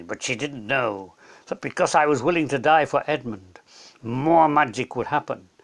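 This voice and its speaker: A man voicing a great lion king in a storybook, calm, solemn and authoritative, with measured, deliberate speech. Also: medium pitch, gravelly, heavily acted.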